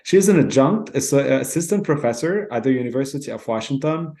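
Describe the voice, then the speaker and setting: A man's voice: medium pitch, lively intonation, fairly quick, mellow. A man hosting a podcast, reading a formal scripted introduction of his guest. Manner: formal, reads from a prepared script. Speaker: podcast host.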